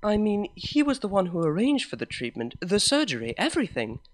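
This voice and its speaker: A man's voice: sits high, wildly swooping pitch, brisk, a little hiss or hum, voice pushed up. A man voicing an earnest, somewhat hesitant young mare pony in a storybook reading.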